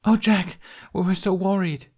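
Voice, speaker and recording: A man's voice: high voice, steady tone, normal speed, a little mellow, voice pushed up. A man voicing a caring, teasing young woman in a storybook, fretful and playfully chiding. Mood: worried relief.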